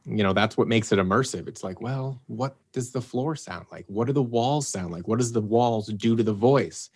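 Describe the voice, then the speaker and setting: A man with a fairly low voice, talking loosely and easily in a podcast chat. He wanders through his thoughts, doubles back on words, and perks up whenever he acts out what someone said. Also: moderate pace, husky. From Los Angeles area, United States. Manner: rambling, restarts and fillers, animated when quoting himself. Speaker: producer and writer of an audio drama. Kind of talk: casual podcast interview.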